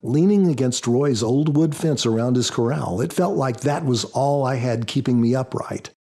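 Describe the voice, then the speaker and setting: A man reading scripted first-person audiobook narration in a measured storytelling manner. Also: mid-range voice, warm tone. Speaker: author narrating his audiobook.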